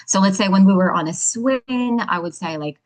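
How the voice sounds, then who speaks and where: A woman's voice: medium pitch, moderate pace, a little mellow. A woman with a Ukrainian accent, a guest talking casually in an interview, chatty and full of "like" and "you know" fillers. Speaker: guest, ESL educator and mother.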